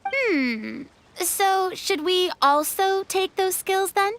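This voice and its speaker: Theatrical newcomer: very high, lilting, unhurried delivery. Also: female voice, slight background noise, full character voice. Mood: eager. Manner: animated, theatrical, drawn-out. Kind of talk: game cutscene voice acting.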